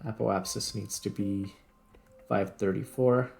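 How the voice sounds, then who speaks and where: A man's voice: fairly low pitch, fairly even intonation, a little slow, vocal fry, darkish tone, soft background music. A man doing casual gameplay commentary as a host, thinking aloud and muttering his way through tasks. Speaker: host, gaming video creator.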